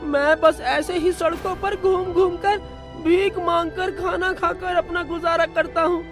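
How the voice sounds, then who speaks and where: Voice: very high-pitched, steady tone, a little slow, over music. A performer voicing a hungry, pleading orphan boy in a cartoon story, drawing out the words. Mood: forlorn. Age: child.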